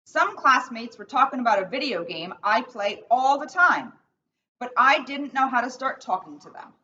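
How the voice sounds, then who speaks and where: A woman's voice: on the high side, expressive, moderate pace, sharp tone. A woman voicing a sensitive young male zebra in a storybook, earnest and plaintive, on a distant mic. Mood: recounting, hurt. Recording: distant mic, dull sound, faint noise.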